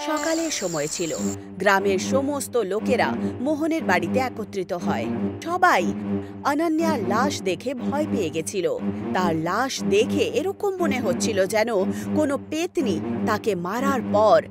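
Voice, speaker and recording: A woman's voice: mid-range voice, big pitch swings, a little slow, over loud music. A woman giving dramatic scripted narration of a horror story.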